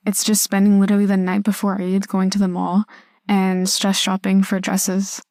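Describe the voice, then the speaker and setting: A woman's voice: mid-range voice, monotone, moderate pace, darkish tone, no echo, clean studio sound. A young woman with an American accent, a student guest chatting casually on a podcast. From California, United States. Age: young adult. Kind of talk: casual chat.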